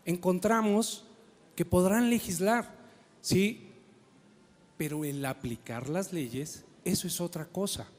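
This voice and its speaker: A man's voice: fairly high pitch, a little mellow. A man giving earnest remarks at a panel forum, asking rhetorical questions and sometimes restarting his sentences. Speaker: forum participant. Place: conference hall. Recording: hall reverb in a large room.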